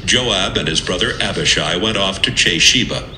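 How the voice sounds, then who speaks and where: A man narrating scripture from a script in a measured, dramatic storytelling manner. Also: low voice, pushed and bright, slight background noise. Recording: echoey, music bed behind.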